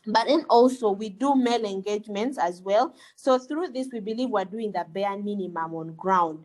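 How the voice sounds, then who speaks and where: A woman from Uganda, a lawyer, speaking earnestly on a webinar panel, pausing often with fillers and restarts. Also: medium pitch, darkish tone, poor audio. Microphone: video call.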